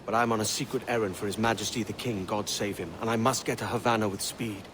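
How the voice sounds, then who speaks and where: An actor playing a brash, roguish young pirate sailor with a cocky, plain-spoken way of talking in a video game cutscene. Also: male voice, on the low side, fairly even intonation, quick pace, noisy background, natural voice. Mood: smooth, sly.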